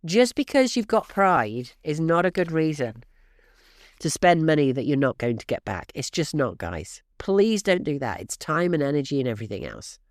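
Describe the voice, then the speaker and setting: A middle-aged woman hosting a real estate podcast, talking straight to listeners in a brisk, emphatic coaching manner, her voice stuffy and snuffly from allergies. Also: sits low, big pitch swings, a little mellow, no room sound, studio quality. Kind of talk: direct-to-listener podcast advice.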